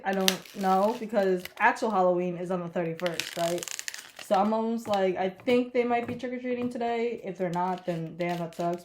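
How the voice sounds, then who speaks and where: A woman's voice: mid-range voice, background noise. A young woman, a college student, chatting casually while getting ready, stuffed up and congested, rambling with lots of fillers, swearing and laughing. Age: young adult. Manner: rambling, profane, giggly, many fillers. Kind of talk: casual get-ready-with-me vlog chat.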